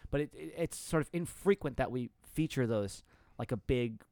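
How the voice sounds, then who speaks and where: A man's voice: medium pitch, moderate pace, darkish tone, no room sound, studio quality. A man hosting a casual podcast, prodding his co-host with questions, often restarting his words. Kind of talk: casual interview chat.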